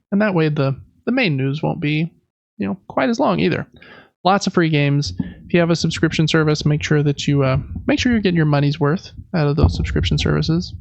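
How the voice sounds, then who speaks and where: A man's voice: fairly high pitch, vocal fry, dry recording, lo-fi sound. A man hosting a podcast, chatting informally and conversationally about games, close to the microphone. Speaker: podcast host. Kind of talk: casual gaming podcast chat.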